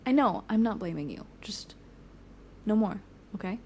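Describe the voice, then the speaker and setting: A woman's voice: medium pitch, expressive, normal speed, dark tone, a little hiss or hum, close to an everyday voice. An actress playing a weary, frank young woman, a supportive friend, in casual audio drama dialogue. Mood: weary, firm. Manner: casual, candid.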